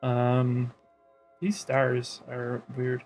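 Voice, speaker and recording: A man chatting casually as he streams a game, in a low-key, hesitant and considerate way. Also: monotone, drawn-out words, darkish tone, faint music behind, poor audio. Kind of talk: casual live game playthrough chat.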